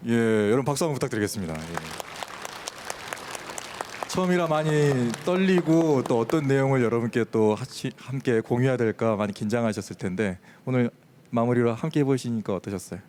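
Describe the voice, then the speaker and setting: A man's voice: mid-range voice, a little fast, darkish tone, a faint crowd, slight background noise. A man moderating a live talk concert on stage, asking guests questions in front of an audience.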